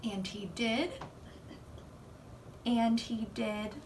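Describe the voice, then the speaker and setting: A woman reading a picture book aloud to children in an expressive, emphatic and encouraging way. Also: a little high, very slow. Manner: emphatic, encouraging, addressing young viewers. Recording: distant mic, faint background noise.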